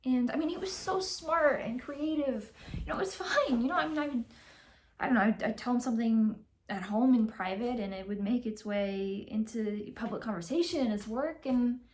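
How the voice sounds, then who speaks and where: Young woman acting as a halting, confessional divorcing actress. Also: on the high side, a little mellow, slight background noise, close to an everyday voice. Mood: emotional, earnest. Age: young adult. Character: wistful, candid divorcing actress confiding in her lawyer. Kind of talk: naturalistic dramatic film monologue.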